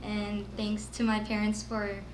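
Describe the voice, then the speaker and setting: A woman's voice: mid-range voice, completely flat delivery, a little slow, slight background noise. A teenage girl from the Philippines giving an earnest prepared speech as a student speaker at a school event. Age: teens. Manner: earnest, with nervous laughs. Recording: echoey room with faint ambience.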